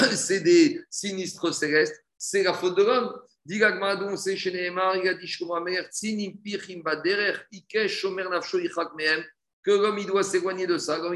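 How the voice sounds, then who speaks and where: High-voiced man teaching in an echoey room. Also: a bit bright. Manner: didactic, asks and answers own questions, repeats phrases. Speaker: religious teacher giving a Talmud class. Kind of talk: explanatory Talmud lesson, reading a text and translating it.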